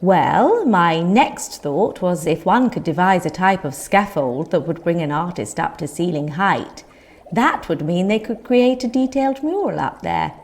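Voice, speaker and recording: A woman's voice: on the low side, sing-song, a little slow. An actress playing an earnest, modest, eccentric medieval woman who muses on her ideas in a comic audio drama. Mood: eager, musing. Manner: earnest, musing.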